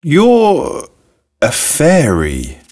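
A man's voice: a little high, huge pitch swings, very slow, a little mellow, heavily acted. A man playing a grumpy, bewildered older retired schoolteacher in an audio play, terse and questioning. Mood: baffled. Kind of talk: scripted audio play acting.

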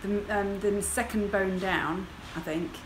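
A woman in her forties vlogging candidly to her phone camera from a hospital, talking anxiously and quietly. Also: mid-range voice, fairly even intonation, a little slow, background noise. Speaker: sailing vlogger. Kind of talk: casual vlog to camera. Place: hospital rooms and corridors, indoors. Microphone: phone camera at arm's length. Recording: roomy sound with loud music behind.

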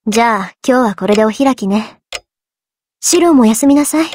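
An actress playing a sharp, self-possessed teenage girl mage with a brisk, analytical manner in a visual novel. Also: fairly high pitch, animated, no echo, clean studio sound. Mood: tired, curt. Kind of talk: Japanese visual novel voice acting. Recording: music clearly behind the voice.

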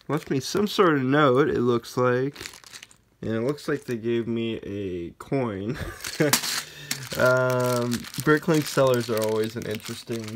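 Young man, hoarse sore throat, rambling casual chat, mid-pitched voice, phone recording with clear background noise. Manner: rambling, many fillers, off-hand. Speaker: Lego hobby vlogger. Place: indoors, room with wooden floor. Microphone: phone camera held over the floor. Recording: noticeable background noise, package crinkling.

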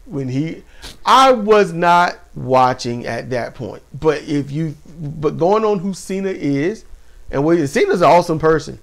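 A man co-hosting a casual wrestling talk show, animated and laughing often, speaking to viewers as y'all. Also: fairly high pitch, measured pace, gravelly. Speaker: podcast co-host. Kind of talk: casual chat about wrestling.

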